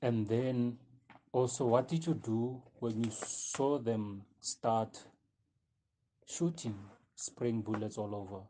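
A man's voice: mid-range voice, slow pace, dark tone, slight background noise, close to an everyday voice. A man playing a sympathetic, polite investigator in an amateur audio drama, speaking with hesitant care. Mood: gentle, questioning. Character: sympathetic male investigator taking a witness statement.

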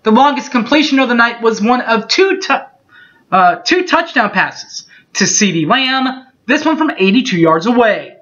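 A man in his thirties reading through postgame football stats from his bedroom, steady and methodical, with small slips he corrects as he goes. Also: very high voice, normal speed. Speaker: sports fan recapping a game. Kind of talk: webcam postgame stats recap. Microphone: webcam mic. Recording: dull lo-fi webcam audio.

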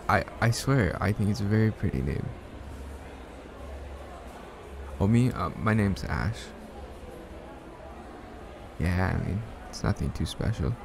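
A man acting a shy, smitten young man in an ASMR roleplay, speaking softly and hesitantly with bashful stammers. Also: sits low, vocal fry, noisy background, exaggerated voice. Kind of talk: ASMR roleplay, soft one-sided conversational acting.

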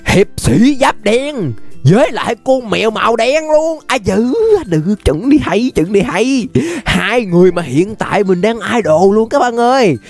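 A man's voice: sits high, animated, unhurried, hardly any pauses, faint music behind. A young man with a Southern Vietnamese accent giving casual, playful, exclamatory gameplay commentary as a YouTube host. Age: young adult. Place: indoor room, webcam setup.

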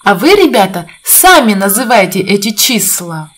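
A woman's voice: mid-range voice, very animated, normal speed, a bit bright, a little hiss or hum. A woman narrating an educational video for young children in an encouraging, sing-song teacher's manner, putting questions to the viewer. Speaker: presenter of a children's counting video.

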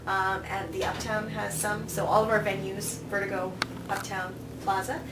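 A woman in her thirties speaking casually as an interview guest, telling anecdotes and acting out quoted remarks. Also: mid-range voice. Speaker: festival programming director, interview guest. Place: small office, indoors. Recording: roomy, distant mic, noticeable hum.

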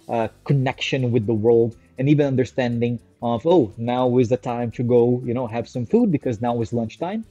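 A man in his thirties from Brazil, a native Portuguese speaker, teaching casually to a webcam from a small room. His voice is mellow and warm, the sound is dry and slightly dull, and faint music sits underneath. He talks with energy, checks in with "right?" and stretches out each new list heading. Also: mid-range voice, dry recording. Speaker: educator presenting on infant care. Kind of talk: casual educational talk to camera. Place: small indoor room with a screen on the wall.

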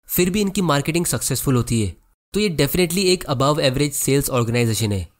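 A man narrating a scripted explainer about analyzing stocks, who addresses viewers as friends and reads checklist questions slowly. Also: mid-range voice. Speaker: finance educator.

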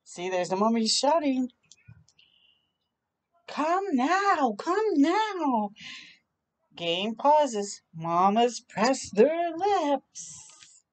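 High-voiced woman reading aloud to children. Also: sing-song, extremely slow, long pauses. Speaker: children's storytime host. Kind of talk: animated read-aloud with chatty asides for children. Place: outdoor balcony near a park.

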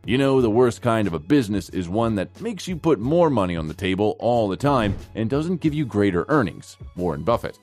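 A man narrates a scripted finance explainer in a fairly low voice. His pitch moves in a lively, animated way from phrase to phrase, and music plays faintly behind him. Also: brisk, few pauses. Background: background music.

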